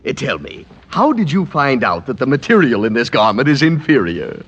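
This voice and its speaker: A man playing a fussy, excitable store adjustment clerk in 1940s radio comedy, theatrical and melodramatic. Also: medium pitch, normal speed, lo-fi sound. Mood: suspicious, shocked. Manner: theatrical, swinging from cheery to shocked. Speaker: actor in a radio sitcom. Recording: slightly noisy vintage 1940s radio recording.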